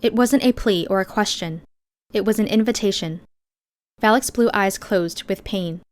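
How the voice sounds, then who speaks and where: A woman with a medium-pitched voice reads first-person fiction as an audiobook narrator. Her storytelling is measured and expressive.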